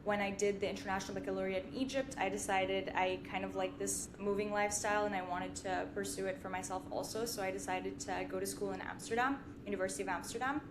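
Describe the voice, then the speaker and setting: Young woman from syria, casual podcast guest chat, says like a lot, pretty noisy rumbly recording. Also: little pitch movement, quick pace, hardly any pauses. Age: 20s. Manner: chatty, informal, frequent "like". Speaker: podcast guest, finance company partner. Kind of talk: casual conversational interview.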